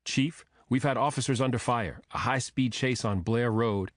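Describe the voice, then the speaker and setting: A man's voice: mid-range voice, moderate pace, no room sound, studio quality, lightly acted. A man voicing an urgent police dispatcher in an audiobook, official and factual.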